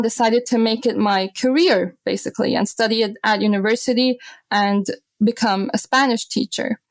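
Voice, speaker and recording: A woman in her twenties who grew up in Austria, telling stories as a podcast guest, animated and often laughing. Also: on the high side, no echo. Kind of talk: casual podcast interview.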